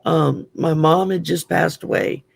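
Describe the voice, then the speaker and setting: A man's voice: fairly high pitch, fairly even intonation, a little slow, no echo. Growly Southern man telling stories. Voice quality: growly, gravelly. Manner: chatty, open, storytelling. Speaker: guest. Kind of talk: casual chat.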